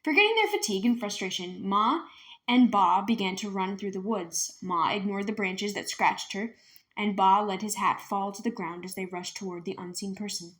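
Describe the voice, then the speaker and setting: A woman's voice: medium pitch, normal speed, a bit bright. Woman reading a children's novel aloud. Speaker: read-aloud storyteller. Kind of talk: scripted children's book narration.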